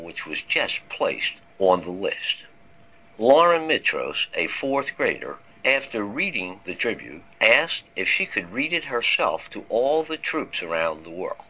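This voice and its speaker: A man's voice: on the low side, breathy edge. A man hosting a podcast, reading a scripted introduction. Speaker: podcast host. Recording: dull lo-fi recording.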